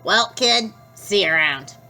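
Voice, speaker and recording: A woman reading aloud and voicing a poor, kindly older man who collects cans, in a plain, slightly lowered storybook voice. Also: lively intonation, measured pace, pushed and bright, soft background music, exaggerated voice. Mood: gruff kind.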